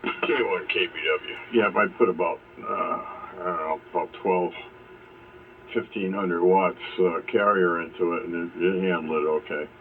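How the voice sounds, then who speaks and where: A man's voice: low-pitched, steady tone, moderate pace. A man chatting casually as an amateur radio operator over an AM shortwave transmission. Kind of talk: casual technical chat over ham radio. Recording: phone-like AM radio with faint noise.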